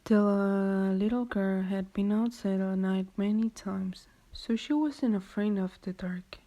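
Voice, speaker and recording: A woman tells a scripted radio drama story as its narrator. Her voice is mellow and of average pitch. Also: a little slow.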